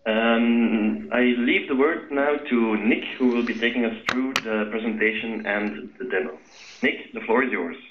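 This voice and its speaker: A man co-hosting a webinar, handing over the floor in a measured way with some fillers. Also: medium pitch. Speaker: webinar co-host. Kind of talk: formal handover introduction. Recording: echoey phone-like line with faint noise.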